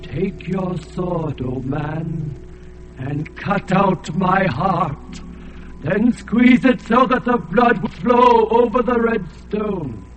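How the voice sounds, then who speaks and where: An actor playing an ancient, blinded alien god who is enslaved and sorrowful, speaking in a grave, chanting way. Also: male voice, high-pitched, expressive, lo-fi sound, over music. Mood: sorrowful, solemn. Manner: slow, grave, chanting delivery. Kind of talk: radio drama acting.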